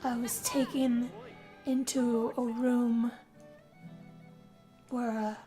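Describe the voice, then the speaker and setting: Woman playing a slow-speaking, weary young woman. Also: on the high side, level tone. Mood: haunted, entranced. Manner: sighing, drawn-out, confessional delivery. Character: young woman Yazmin, moody, weary, being turned vampire. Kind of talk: first-person dramatic role-play narration over a game. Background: guitar music.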